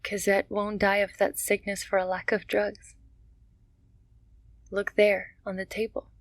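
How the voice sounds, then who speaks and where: An actress playing a poor, dying young mother in a radio drama, speaking in a slow, strained and pleading way. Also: mid-range voice, monotone, no echo, natural voice. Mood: desperate.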